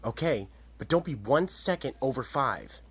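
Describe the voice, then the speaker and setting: A man's voice: mid-range voice, lively intonation, normal speed, background noise, close to an everyday voice. A man playing an over-familiar, insistent office supervisor in a radio mystery drama, bossy and nagging.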